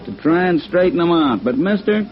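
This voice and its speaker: A talkative, folksy working-class American barman acted by a man in an old radio drama. His voice sits fairly high and his speech is colloquial and plain. The vintage recording is muffled, with music clearly playing behind him. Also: lively intonation, a little fast, close to an everyday voice. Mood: regretful. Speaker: radio actor. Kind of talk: 1950s radio drama acting.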